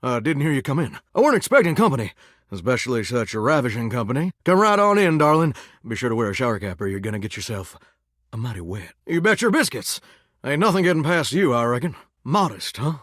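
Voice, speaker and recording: An actor playing a vain, folksy singing shower in a video game, with a theatrical Southern drawl and country sayings. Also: male voice, medium pitch, big pitch swings, fast talker, a bit bright, no echo, studio quality. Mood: folksy, flirty. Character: flamboyant, folksy singing shower, vain would-be showbiz star. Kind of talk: animated video game character acting with bursts of song.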